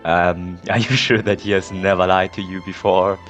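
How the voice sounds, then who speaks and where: A man's voice: deep voice, flat delivery, normal speed, a bit bright. A man giving casual, off-the-cuff game commentary in a sarcastic, incredulous manner. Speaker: gamer, playthrough commentator. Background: game music.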